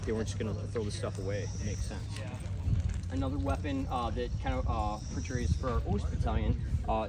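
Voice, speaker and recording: A middle-aged American man gives an informal, halting explanatory talk as a reenactor, speaking to a camera outdoors. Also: medium pitch. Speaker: historical reenactor. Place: open grassy park under a tree, outdoors. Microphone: camera a few metres away. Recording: distant mic outdoors with clear background noise. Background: outdoor ambient noise.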